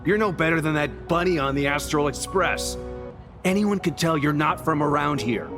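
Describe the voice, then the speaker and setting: A man's voice: fairly high pitch, normal speed, lightly acted. An actor playing a hostile, scolding townsman in a video game, accusing and disdainful. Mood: scornful. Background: background music.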